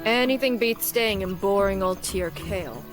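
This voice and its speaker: A cocky young female adventurer from a fantasy game, played by a woman, eager and teasing. Her mid-pitched voice banters boastfully over clear music. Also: moderate pace, close to an everyday voice. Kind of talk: English video game cutscene acting.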